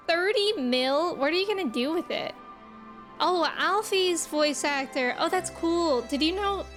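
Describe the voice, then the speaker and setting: A woman with a high voice chats casually as a game streamer. She is playful and teasing, with faint music in the background. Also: fairly bright tone. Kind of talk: casual livestream chat.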